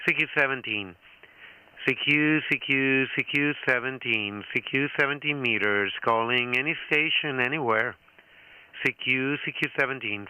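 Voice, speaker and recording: A man's voice: medium pitch, sharp tone, clear, resonant tone, no echo, low-quality recording. A man making repetitive amateur radio calls in clipped, formulaic phrases.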